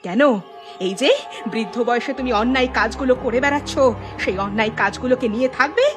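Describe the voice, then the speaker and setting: Casting: a woman as an aggrieved cartoon village wife, fairly high voice, emphatic and scolding, fiercely resolved, music underneath. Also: fast talker, lightly acted. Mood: angry, hurt. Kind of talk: dramatic Bengali cartoon dialogue dubbing.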